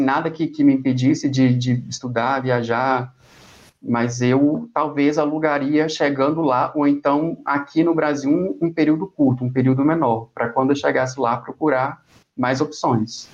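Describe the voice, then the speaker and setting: A young Brazilian man speaking in a relaxed, rambling way as a guest in an interview, often restarting his phrases. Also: medium pitch, lo-fi sound. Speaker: exchange student guest. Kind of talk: conversational interview.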